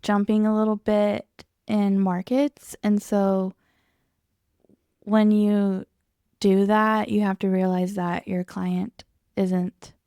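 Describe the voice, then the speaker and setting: A woman's voice: mid-range voice, level tone, slow pace, dark tone, clean tone, no echo, clean studio sound. A young woman co-hosting a podcast, explaining things in a casual, conversational way. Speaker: podcast co-host.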